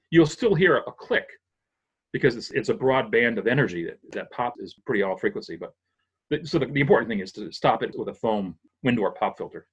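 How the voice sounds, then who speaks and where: An older man from Massachusetts talks a guest through sound engineering in a patient, explanatory way, restarting his phrases as he thinks. His voice is husky and sits at an ordinary pitch for a man. The recording is dull and lo-fi. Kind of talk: casual technical interview. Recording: dull lo-fi audio.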